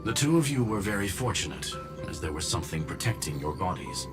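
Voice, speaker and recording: A man voicing a courteous robot gentleman scholar in a video game, speaking formally and precisely. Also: deep voice, sing-song, brisk. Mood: calm, precise. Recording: loud music under the voice.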